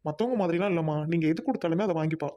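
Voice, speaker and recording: A woman voicing a young husband and elder brother who calmly reassures and mediates. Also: lowish voice, very fast, lightly acted. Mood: calm, reassuring.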